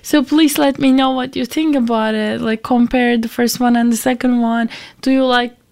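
A young woman with a high voice that often slips into creak, still recovering from being sick. She chats casually as a student radio host, rambling along and poking fun at herself. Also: steady tone, normal speed, darkish tone. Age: young adult. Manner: rambling, self-deprecating. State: recovering from a week of sickness. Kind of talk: casual music show chat.

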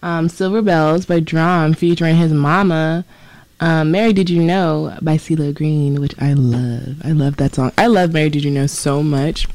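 A woman's voice: sits low, fairly even intonation, moderate pace, darkish tone, no echo. A woman about twenty with a southern accent, co-hosting a college radio show in casual, giggly chat full of slang. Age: 20s. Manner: chatty, giggly, loose slang. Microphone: studio microphone.

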